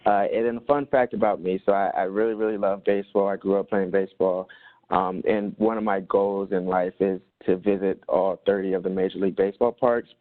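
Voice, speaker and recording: A man's voice: deep voice, steady tone, brisk, no room sound. A man giving an earnest, slightly stumbling self-introduction on a webinar. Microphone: conference call line. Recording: dry phone-line webinar audio.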